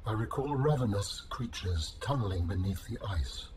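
An actor voicing a calm, formal alien intelligence in a video game, speaking in a measured way. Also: male voice, lowish voice, background noise, close to an everyday voice. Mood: calm, cautioning. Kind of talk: scripted game dialogue.

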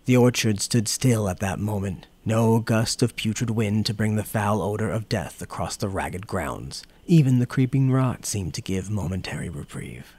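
Low, husky male fantasy narrator. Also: normal speed, few pauses, a little mellow. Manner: solemn, dramatic storytelling. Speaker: audiobook narrator. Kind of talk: scripted dark fantasy narration.

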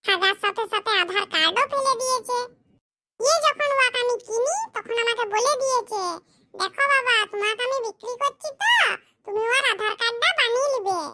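A performer with a very high voice playing a nervous young man in a cartoon who stole a goat and rambles out excuses, in a noisy recording. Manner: rambling, pleading. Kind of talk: cartoon comedy dialogue.

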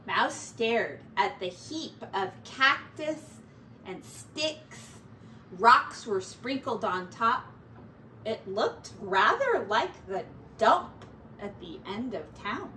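A woman's voice: on the high side, bright, forceful tone. A middle-aged woman, a teacher, narrating a children's picture book expressively. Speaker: teacher reading aloud to children. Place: small indoor bedroom. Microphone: distant camera microphone. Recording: roomy, distant mic, faint noise.

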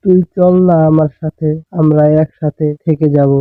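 A man's voice: fairly high pitch, fairly even intonation, normal speed, no room sound, narrow, tinny audio, close to an everyday voice. A man playing a concerned friend of an orphaned young man in a cartoon story. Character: concerned male friend offering shelter to an orphan.

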